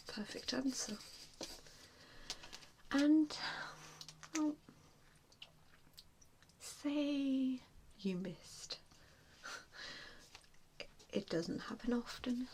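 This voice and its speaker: A woman plays a vampire assessor in an ASMR roleplay. She whispers breathily, mostly without voice, at a slow pace with frequent hushed pauses. A faint noise sits behind her close-up whisper. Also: a little high, vocal fry. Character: vampire assessor, a wistful former hunter, gently probing.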